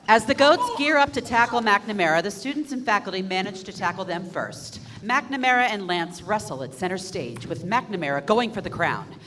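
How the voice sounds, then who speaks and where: A woman reading stage directions aloud in a brisk, matter-of-fact way during a staged reading. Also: mid-range voice, lively intonation, background noise.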